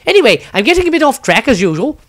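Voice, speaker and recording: A man's voice: very high-pitched, big pitch swings, fast talker, fairly bright tone, pitched above the performer's own voice, heavily acted. A man voicing an eccentric, grumbling wizard diarist in a fantasy story, theatrical, rambling and wry. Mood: indignant, chatty. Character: eccentric, grumbling, self-satisfied male wizard adventurer diarist.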